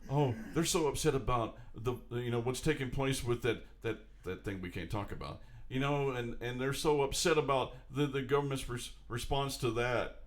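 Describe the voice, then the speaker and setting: A man's voice: mid-range voice, moderate pace, few pauses. A man co-hosting a podcast, talking casually, rambling and joking. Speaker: podcast co-host. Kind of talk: casual chat.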